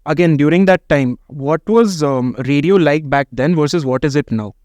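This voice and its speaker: Higher-voiced host, dry close mic. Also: male voice, normal speed, darkish tone, no room sound. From India. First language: Hindi. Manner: asks short questions and adds brief agreements. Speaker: podcast host. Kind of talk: casual interview chat.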